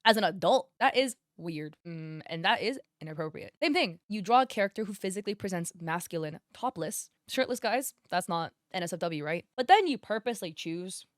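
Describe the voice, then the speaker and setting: A woman's voice: on the low side, lively intonation, brisk, hardly any pauses, no echo, clean studio sound. A woman in her twenties giving blunt, exasperated commentary straight to her viewers while reading from a document. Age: 20s. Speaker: commentary video host.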